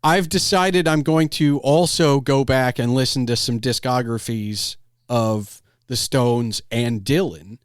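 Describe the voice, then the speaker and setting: A man's voice: medium pitch, takes it slowly, dry recording, clean studio sound. A middle-aged man in casual podcast conversation, rambling and digressive, often breaking off his clauses to start another. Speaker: podcast host.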